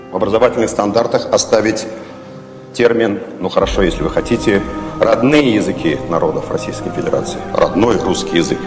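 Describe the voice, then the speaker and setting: Low-voiced man in an echoey hall. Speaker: lawmaker addressing a session. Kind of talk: formal speech. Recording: echoey hall with music beneath.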